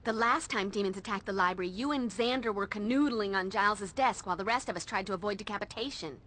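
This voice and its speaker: A woman's voice: mid-range voice, fast talker, fairly bright tone, close to an everyday voice. Wry American teen heroine voiced by a woman. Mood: sarcastic. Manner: sarcastic, blunt, slangy. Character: wry, bossy teenage girl demon fighter. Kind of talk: video game voice acting.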